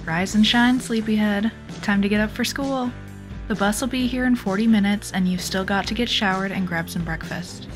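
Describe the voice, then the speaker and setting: A woman playing a kindly, brisk mother in a comic dub, chivvying in a motherly way. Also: medium pitch, lightly acted. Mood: brisk, affectionate. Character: kindly, brisk mother waking her child. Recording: music behind the voice.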